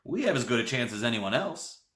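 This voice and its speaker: A man voicing an excitable old grandfather who runs a country store, talking in an emphatic folksy way. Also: mid-range voice, brisk, roomy sound. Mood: earnest.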